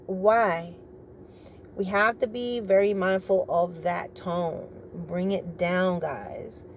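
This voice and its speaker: Deep-voiced middle-aged woman, briefing-style delivery. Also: dull audio, slight background noise. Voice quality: naturally deep, rich voice. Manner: measured, formal briefing-like delivery. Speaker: retired military vlogger. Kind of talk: opinionated monologue to camera.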